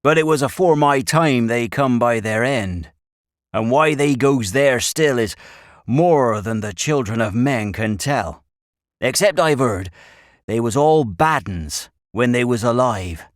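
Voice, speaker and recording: An old rural English shepherd, acted by a male reader, full of grave warning. His folksy country dialect is emphatic and swings widely in pitch. The recording is dry and close, like a storybook told at the listener's side. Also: animated, measured pace, no room sound, lightly acted. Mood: ominous.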